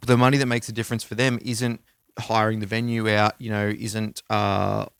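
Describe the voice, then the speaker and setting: Australian male podcast co-host, informal chat, gravelly edge on a smooth voice, close dry sound. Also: fairly low pitch, level tone, moderate pace, dry recording. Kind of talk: casual music podcast chat.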